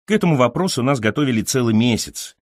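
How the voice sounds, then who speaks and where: A man reading literary fiction as an audiobook narrator, in an expressive, carefully scripted storytelling delivery. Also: medium pitch, fast, no echo, studio quality.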